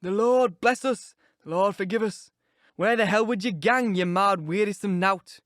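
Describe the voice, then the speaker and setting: A man's voice: sits high, moderate pace, fairly bright tone, no room sound, studio quality. A man voicing a grumbling, pious old male servant with a broad Yorkshire dialect and a rustic drawl, scolding as he talks. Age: older.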